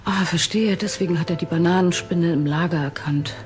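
A woman's voice: on the low side, brisk, lightly acted. A woman acting a composed, direct police detective in a crime radio play, asking pointed questions. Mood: calm, probing.

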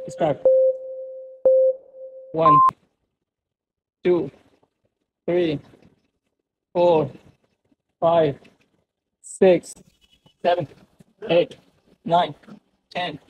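A man's voice: on the high side, steady tone, takes it slowly, long pauses, muffled recording, noisy background. A man in his twenties chatting casually and counting reps as he vlogs in a gym. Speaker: gym vlogger. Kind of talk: casual vlog chat and rep counting. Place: busy gym, indoors.

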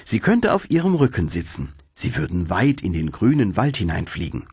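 A man narrates a fairy tale in a lowish, husky voice. His storytelling is deliberate and highly animated. The sound is dry and close, as in an audiobook studio. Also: no echo.

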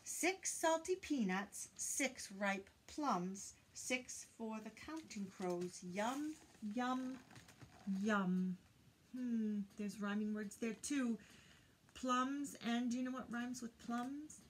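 An older woman reads a picture book aloud to young children, counting in a deliberate singsong and prompting them with questions. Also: fairly high pitch, slight background noise. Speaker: children's teacher reading aloud. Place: indoors, small room lined with bookshelves.

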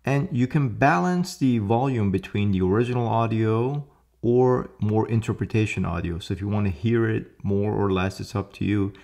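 This is a middle-aged man with a lowish voice, recorded close to a desk mic in a home office. He explains software settings step by step in an upbeat, casual way. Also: normal speed, gravelly. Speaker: tech channel host. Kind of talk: screen-share tutorial walkthrough.

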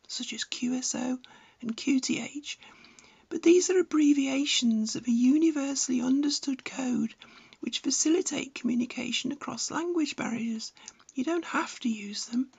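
A woman's voice: sits high, gravelly, a little mellow, husky, lo-fi sound. A woman reading scripted instructional narration in a blunt, didactic manner. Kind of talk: scripted narration, tutorial with opinionated advice.

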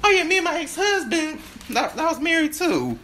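A woman performing an improvised stage-play monologue, halting and stammering as she repeats her phrases. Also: high voice, very animated, fairly bright tone, slight background noise.

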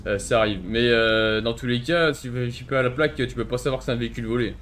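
A man's voice: mid-range voice, fast talker, noisy background. A man chatting casually and provocatively in slangy improvised game roleplay. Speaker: streamer playing a roleplay game.

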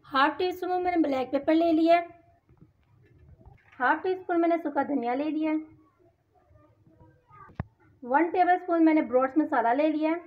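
Woman, pakistani urdu accent, high voice, lists ingredients, dull lo-fi recording, faint hiss. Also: normal speed. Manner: lists amounts one by one. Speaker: cooking channel host. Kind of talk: step-by-step recipe voice-over.

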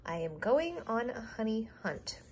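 A woman's voice: on the high side, sing-song, measured pace, a little hiss or hum. A woman voicing a bumbling, cheerfully stubborn papa bear from a children's storybook, with a folksy, excuse-making manner. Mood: confident.

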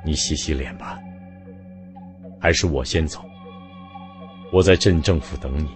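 A man voicing a betrayed husband who speaks coldly and flatly as he insists on divorce. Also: extremely low pitch, a little slow, faint music behind. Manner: cold, flat. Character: cold, resolute husband set on divorce.

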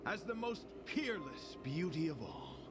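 An actor playing a courteous, earnest young knight devoted to beauty, speaking in formal, florid phrases for a video game. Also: male voice, on the high side, very animated, a little slow. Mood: gentle, devout. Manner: formal, florid, chivalrous delivery. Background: game music.

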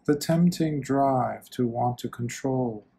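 A man leading a guided meditation as a monk, speaking gently and soothingly in short phrases with long pauses. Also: medium pitch, creaky, husky.

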